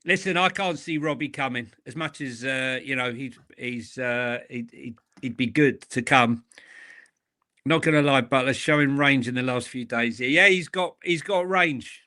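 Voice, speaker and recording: A man's voice: medium pitch, moderate pace, sharp tone. A man co-hosting a football fan show, chatting casually and giving his opinions, often repeating phrases for emphasis. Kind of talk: casual opinionated livestream chat.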